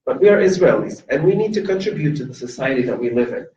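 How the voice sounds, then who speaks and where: A man's voice: mid-range voice, expressive, mellow. Earnest man speaking in an echoey hall. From Lebanon. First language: Arabic. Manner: earnest and impassioned, with halting restarts and fillers. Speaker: invited speaker at a campus event. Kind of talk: personal testimony talk to an audience. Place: university event hall. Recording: echoey hall, dull and distant.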